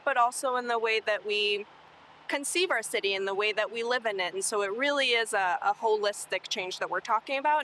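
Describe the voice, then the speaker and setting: Bright-voiced young woman from Colombia. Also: on the high side, a little hiss or hum, poor audio. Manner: earnest, hopeful, fluent with fillers. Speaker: city sustainability worker. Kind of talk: oral history interview.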